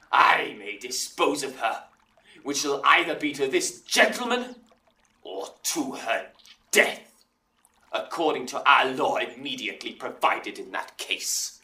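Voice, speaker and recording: A man's voice: medium pitch, sharp tone, exaggerated voice. An actor playing an angry, possessive old father in a Shakespearean audio drama, declaiming with indignation. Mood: stern, pleading. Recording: roomy echo, distant from mic.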